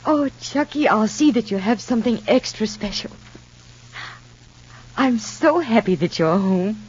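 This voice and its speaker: A muffled old radio recording carries an anxious, doting mother. Her voice is fairly high and swoops with feeling, husky at the edges, gushing over her child in soap opera style. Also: animated, unhurried, a little hiss or hum. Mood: tender, fussing. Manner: gushing, emotional. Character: anxious, doting mother overjoyed at son's return.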